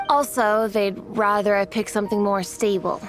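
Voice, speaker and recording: A woman's voice: medium pitch, flat delivery, moderate pace, soft background music, lightly acted. A woman voicing an earnest, determined but self-doubting young artist in a video game, speaking in a hesitant, confiding way. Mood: earnest, frustrated. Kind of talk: scripted video game voice acting.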